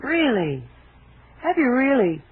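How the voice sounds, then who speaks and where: An actress playing a wary, indignant young stage dancer in a 1940s radio thriller, with a brassy, stammering, defensive delivery. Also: female voice, high-pitched, big pitch swings, slow, exaggerated voice. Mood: puzzled, coaxing. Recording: noisy, narrow-band 1940s radio recording.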